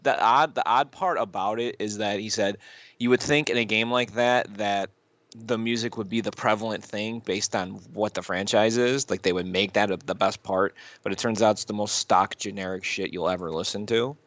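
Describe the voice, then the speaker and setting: A man co-hosting a casual podcast, giving opinions in a rambling, halting way with repeated phrases and loose swearing. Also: fairly low pitch, fairly even intonation, a bit bright, no room sound. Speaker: podcast co-host. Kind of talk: casual chat, reviewing YouTube videos.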